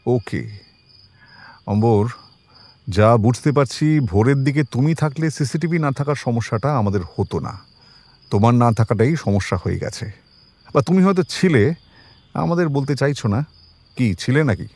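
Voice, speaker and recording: An actor in an audio drama playing a composed police detective who questions people politely but with quiet suspicion. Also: male voice, mid-range voice, expressive, moderate pace, pauses often, no room sound. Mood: probing, composed.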